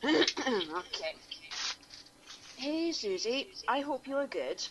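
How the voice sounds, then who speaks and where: A woman's voice: high voice, big pitch swings, takes it slowly. Woman reading dramatically, with noise behind her. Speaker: panelist on an online radio show. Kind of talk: mocking dramatic reading of an email, with asides. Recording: noisy room ambience behind voice.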